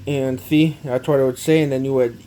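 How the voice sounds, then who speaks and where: Halting man, noisy home recording. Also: mid-range voice, flat delivery, warm tone. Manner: halting, repetitive, step-by-step explaining. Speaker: amateur gadget demonstrator. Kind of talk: casual product walkthrough.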